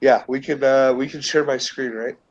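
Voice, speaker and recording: A man's voice: medium pitch, fairly even intonation, moderate pace. A man with a stuffed-up cold reading a news article aloud as a stream co-host, in a loose casual way. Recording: dull lo-fi call audio.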